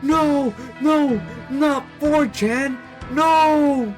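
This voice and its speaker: A man voicing a panicked onlooker who begs a villain to stop, in a strained falsetto-like cry. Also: very slow, soft background music, full character voice. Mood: desperate pleading.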